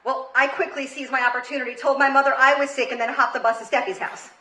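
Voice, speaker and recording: High, bright-voiced American woman telling stories onstage. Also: steady tone, fast talker. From Queens, New York, United States. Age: 30s. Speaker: comedy storyteller, show host. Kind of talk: live comedic storytelling, read to an audience. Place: live comedy stage before an audience. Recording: roomy live stage recording, faint noise.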